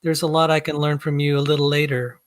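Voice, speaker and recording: A man's voice: a little high, level tone, fast talker. A man making polite, casual conversation with a fellow presenter. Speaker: debate participant.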